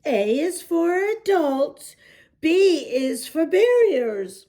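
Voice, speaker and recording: Storybook young elephant boy played by a woman: high, cheerful, confident, eager explainer, unhurried. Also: sing-song, warm tone, exaggerated voice. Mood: confident, helpful. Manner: friendly, eager, explaining patiently.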